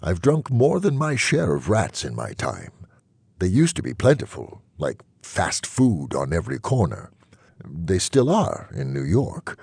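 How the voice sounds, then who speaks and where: Male actor as wistful immortal vampire, very low voice, lively swooping intonation, dryly witty, theatrical audiobook narration. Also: a little slow, dry recording, full character voice. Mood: wry, droll. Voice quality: low, husky. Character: wry, melancholy immortal vampire man, world-weary and wistful. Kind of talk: first-person audiobook narration.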